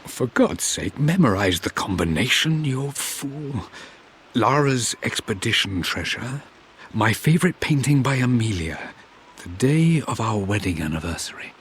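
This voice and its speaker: Brooding gentleman, noisy backdrop. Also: medium pitch, animated, unhurried. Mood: self-reproachful. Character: Lara's father, driven, troubled English aristocrat scholar. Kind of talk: video game voice acting, recorded-memory monologue.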